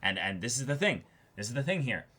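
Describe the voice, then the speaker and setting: A man's voice: medium pitch, sing-song, fast talker, pushed and bright, slightly rough. A man co-hosting a reaction show in a casual, emphatic way, repeating words to stress his points. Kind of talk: casual chat about an anime episode.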